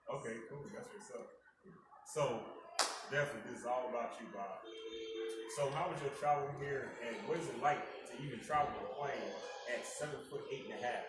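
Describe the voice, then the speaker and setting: A man's voice: mid-range voice, a little fast. A man interviewing a guest in a shopping mall, upbeat and chatty, full of fillers like "you know". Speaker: celebrity interviewer. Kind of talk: casual on-location interview. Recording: echoey, distant mic, mall noise.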